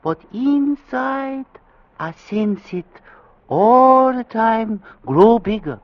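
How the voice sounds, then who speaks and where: An actor playing a polite, superstitious ship's steward in a radio drama, speaking halting broken English with a put-on foreign accent. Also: male voice, very high-pitched, animated, heavily acted. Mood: foreboding. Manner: halting, deferential, clipped broken phrases. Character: soft-mannered, superstitious male ship's steward, politely ominous. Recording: dull vintage radio recording.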